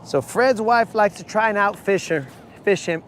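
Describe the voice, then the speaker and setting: A man hosting a live fishing stream from a boat, talking casually with viewers in a hoarse voice. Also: high-pitched, moderate pace, a bit bright, a little hiss or hum. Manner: casual, slangy, calls viewers bro. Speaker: fishing channel host. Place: outdoors on a boat on a lake.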